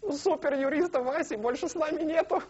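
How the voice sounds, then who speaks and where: A man's voice: very high voice, brisk. A young man hosting a late-night TV show from a studio sofa, talking live with a joking, teasing manner. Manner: joking, teasing, lively and animated. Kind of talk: playful live studio banter. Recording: dull lo-fi broadcast tape.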